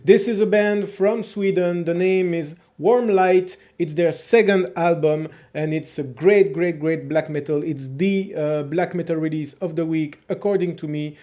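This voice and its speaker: High-pitched French-accented man reviewing music. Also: a little slow, hardly any pauses, mellow. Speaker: host of a music review video channel. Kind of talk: casual video review, talking to viewers.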